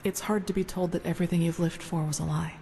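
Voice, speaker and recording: An actress playing an earnest, thoughtful female novice of a holy order in fantasy game dialogue, measured and reflective. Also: lowish voice, fairly even intonation, creaky. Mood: somber.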